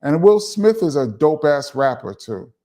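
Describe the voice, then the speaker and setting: A man's voice: on the high side, very animated, unhurried, mellow. A middle-aged man from Brooklyn with a New York accent, casually hosting a live stream and answering viewer questions in a laid-back, chatty way. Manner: laid-back, chatty, laughs and says um often. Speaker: host of a live chat show.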